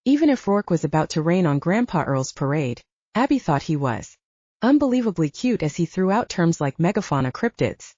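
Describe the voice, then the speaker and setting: A woman narrates an audiobook with a low, mellow voice and lively, varied intonation. She reads scripted fiction, and the sound is close and dry. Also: normal speed, dry recording, muffled recording.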